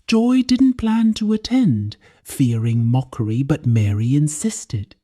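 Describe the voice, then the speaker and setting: A woman's voice: very deep voice, expressive, slow pace, mellow, dry recording, clean studio sound. A woman storyteller reading a scripted folktale as a dramatic narration.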